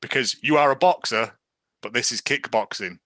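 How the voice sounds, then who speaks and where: A British man with a bright, forceful voice and a mid-range pitch chats as a podcast co-host. He is sardonic and joking, commenting on a film in a casual way. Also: moderate pace.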